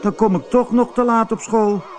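A man's voice: high-pitched, moderate pace, soft background music, voice pushed up, lightly acted. A man voicing a mischievous young schoolboy in a storybook, with an exclaiming, boyish delivery. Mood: protesting.

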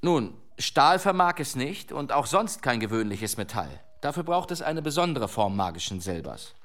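A wounded demon hunter, medium voice, proud n defiant, grand old-fashioned radio play talk. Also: male voice, mid-range voice, very animated, normal speed, fairly bright tone. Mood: explaining, confident. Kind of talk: German historical radio drama acting.

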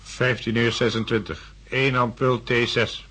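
An actor playing a dutiful medical assistant in a radio drama, reporting in a precise, clinical manner. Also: male voice, medium pitch, level tone, unhurried, a bit bright, breathy edge. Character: dutiful male medical assistant administering injections.

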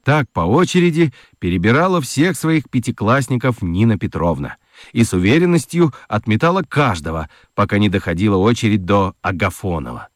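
A male narrator tells a children's story for radio. His voice is on the low side and moves expressively up and down, recorded close and dry. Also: expressive, fairly quick, no echo.

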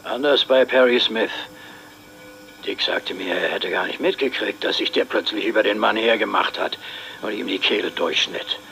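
A troubled older father makes a defensive statement in a radio drama. His voice is low and creaky with a hard, forceful edge. The recording has a faint noise to it. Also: normal speed. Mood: defensive, resigned.

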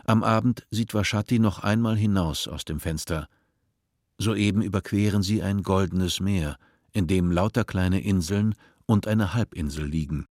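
A man narrating a radio play in a measured, sober way. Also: low-pitched, a little mellow, dry recording. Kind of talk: scripted narration. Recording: dry studio sound.

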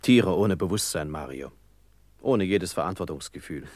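An earnest shopkeeper in a radio drama. His deep voice is insistent and formal, recorded very dry and close. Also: lively intonation, moderate pace, a little mellow, no room sound. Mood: indignant. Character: earnest, indignant small haberdashery shopkeeper and family man. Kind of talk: German radio play acting.

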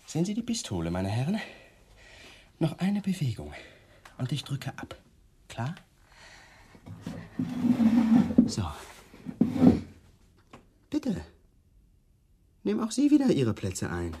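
An actor playing a menacing young police lieutenant in a radio drama, mockingly polite and domineering. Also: male voice, on the high side, wildly swooping pitch, measured pace, long pauses, mellow, slight background noise. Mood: coldly threatening. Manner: taunting, theatrically polite, domineering. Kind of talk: 1980s German radio drama acting.

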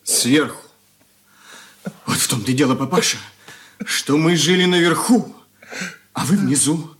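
An actor playing an earnest, hurt young son in a radio drama, speaking in measured, heartfelt phrases. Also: male voice, on the high side, a bit bright. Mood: earnest reproach. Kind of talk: 1980s Soviet radio play acting.

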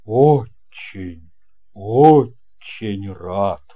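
Man voicing a booming, slow-talking jovial sea captain. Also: lively intonation, muffled recording. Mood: hearty welcome. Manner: booming, courteous, drawn-out grand delivery. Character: big fat jovial courtly old-school ship's captain, male. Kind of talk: audio fairy-tale character acting.

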